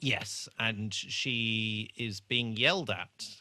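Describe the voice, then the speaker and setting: A man's voice: fairly low pitch, drawn-out words, bright, forceful tone, dry recording. A British man co-hosting a podcast, chatting casually about television in a hesitant, joking and sweary way. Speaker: podcast co-host. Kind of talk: casual TV rewatch chat.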